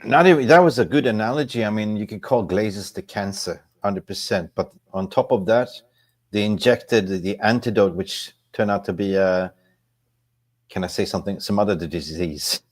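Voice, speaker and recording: Low-voiced man chatting on a fan stream. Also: normal speed. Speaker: co-host of football fan live stream. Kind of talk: casual chat.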